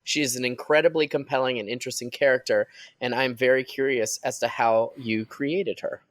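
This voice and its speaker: A panel member puts listener questions in a casual Q&A. The voice is of middle pitch, recorded close and dry. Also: fairly even intonation, a little fast, no echo.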